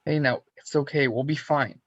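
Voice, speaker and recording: A man's voice: medium pitch, steady tone, moderate pace, close to an everyday voice. A man playing a tender, worried man facing death in a horror script reading. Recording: dull, muffled sound.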